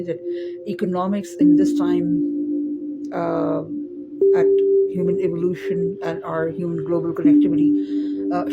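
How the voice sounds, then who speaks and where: A woman, giving a reflective spoken talk in a thoughtful, unhurried way, with frequent fillers and restarts. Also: lowish voice. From Pakistan. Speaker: spiritual teacher giving a video talk series. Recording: muffled lo-fi sound with loud music behind.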